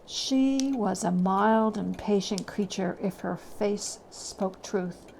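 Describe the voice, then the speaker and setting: A woman giving a measured, expressive dramatic reading of a classic story as a storyteller. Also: medium pitch, creaky, slight background noise, rough recording.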